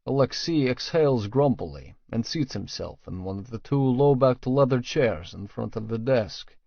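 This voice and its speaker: Guy narrating a novel in first person, medium pitch, very dry slightly muffled recording. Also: lively intonation, moderate pace, gravelly, dry recording. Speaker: audiobook narrator. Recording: dry, slightly dull studio sound.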